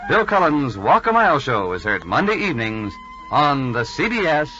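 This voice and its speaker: A man's voice: fairly high pitch, big pitch swings, normal speed, pushed and bright. A man giving a promotional announcement in 1950s network radio style. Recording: dull vintage radio recording with music. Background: music.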